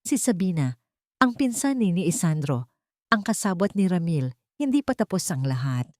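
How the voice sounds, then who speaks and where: Low-voiced woman narrating dramatic fiction. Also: sing-song, normal speed, a little mellow, dry recording, clean studio sound. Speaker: storyteller. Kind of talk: scripted dramatic narration of a love story.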